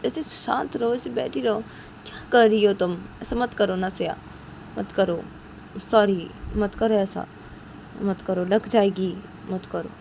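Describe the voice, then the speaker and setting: A woman voicing a caring female partner who soothes and gently chides with repeated pleas, in an amateur audio story. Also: medium pitch, measured pace, gravelly, slight background noise. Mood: pleading. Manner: soothing, pleading, repetitive.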